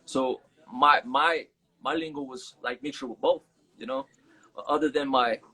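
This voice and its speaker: A man's voice: mid-range voice, unhurried, vocal fry, pushed and bright, low-quality recording. A man from Las Vegas, a guest rapper, talking casually in a live-stream interview and mixing Spanish words into laid-back street talk. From Las Vegas, USA. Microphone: phone on a live stream.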